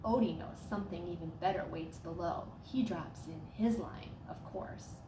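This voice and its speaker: A woman reading a children's storybook aloud as a storyteller, with expressive, dramatic emphasis. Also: lowish voice, moderate pace. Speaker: staff storyteller. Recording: roomy echo, clear background noise.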